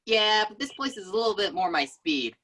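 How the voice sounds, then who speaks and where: A playful, excitable young party host, read by a woman at an amateur table read. The pitch swings about in a very animated way. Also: a little fast. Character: playful, excitable young man hosting a housewarming.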